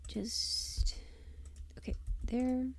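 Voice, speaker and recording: Very slow, creaky-voiced woman streamer. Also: sits high, fairly even intonation. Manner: halting, distracted, half talking to self. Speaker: livestream host and audio performer. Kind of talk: casual livestream chat, muttering while working at a computer.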